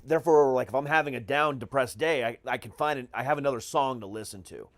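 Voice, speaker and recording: A man's voice: mid-range voice, quick pace, no room sound. A man hosting a podcast in casual conversation, talking in an emphatic, slangy way with frequent swearing. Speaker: podcast host. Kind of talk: casual chat.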